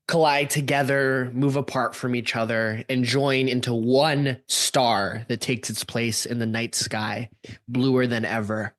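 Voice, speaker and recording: Slow, grandiose male improv narrator. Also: mid-range voice, a bit bright. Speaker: improv podcast host and performer.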